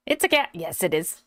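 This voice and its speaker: A woman's voice: lowish voice, dry recording. A woman streaming a game, chatting casually with her viewers and laughing often, her voice worn by a slight cold. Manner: giggly, chatty, talks to chat by name. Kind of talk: casual live-stream chat.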